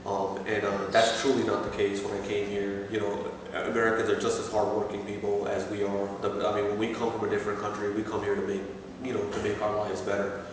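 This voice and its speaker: Man in his thirties from pakistan, low flat voice, hesitant, echoey room away from the mic. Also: fairly quick, far from the mic. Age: 30s. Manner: hesitant, many fillers and restarts. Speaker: interviewee, assistant store manager. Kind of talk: unscripted interview answers. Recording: echoey room, voice from afar, faint noise.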